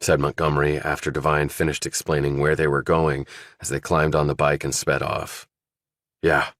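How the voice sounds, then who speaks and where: A man's voice: rumbling low, little pitch movement, a little fast, a bit bright, no room sound, clean studio sound. A man reading scripted thriller narration as an audiobook narrator.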